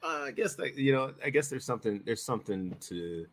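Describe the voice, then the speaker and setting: A man chatting casually as a show's director, in a halting way that circles back over phrases. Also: medium pitch, big pitch swings. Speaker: director, show regular. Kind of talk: casual chat.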